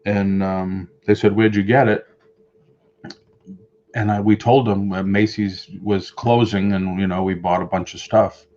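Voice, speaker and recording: A middle-aged man with a Boston accent talks loosely and chattily to his viewers, reading out their comments as he goes. His voice sits on the low side. The webcam audio is dull and lo-fi, recorded in a room at home. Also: level tone, unhurried, darkish tone. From Boston area. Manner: rambling, chatty, reads and answers viewer comments. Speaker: live stream host. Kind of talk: casual live-stream chat. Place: home room with shelves of collectibles.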